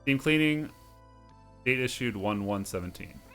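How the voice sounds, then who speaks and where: A man's voice: medium pitch, animated, a little slow, a bit bright, soft background music. A man hosting a video game playthrough, commenting and reading on-screen text aloud, sometimes stumbling over words.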